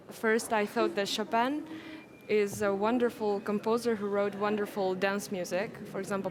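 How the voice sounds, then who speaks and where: A nineteen-year-old woman from Japan with a Japanese accent, talking as a pianist guest. She speaks carefully and earnestly. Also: medium pitch. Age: teens. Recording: steady background noise.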